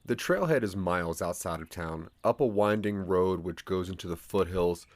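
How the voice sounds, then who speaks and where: A man's voice: deep voice, normal speed, dry recording. A man narrating a scripted audio drama in the second person, with a dramatic storytelling manner.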